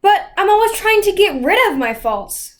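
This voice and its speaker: A woman's voice: extremely high pitch, sing-song, moderate pace, voice pushed up. A woman voicing an anxious, impatient adolescent girl in a storybook, exclaiming in protest. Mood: upset protest.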